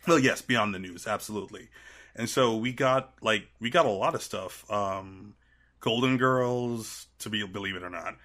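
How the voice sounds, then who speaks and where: Bright-voiced, lowish-pitched man in his thirties. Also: moderate pace. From Trinidad and Tobago. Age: 30s. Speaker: podcast guest. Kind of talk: casual conversational interview.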